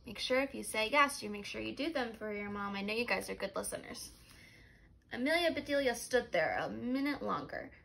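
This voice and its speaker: A woman teacher reading a story aloud to children in simple phrases, pausing to ask them questions and confirm answers. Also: a little high, expressive, vocal fry, bright, forceful tone. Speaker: life skills teacher.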